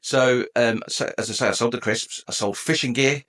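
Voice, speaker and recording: Man from England, forties, mid-range voice, podcast guest, chatty, jokey, animated. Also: mid-range voice, moderate pace. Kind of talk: conversational storytelling.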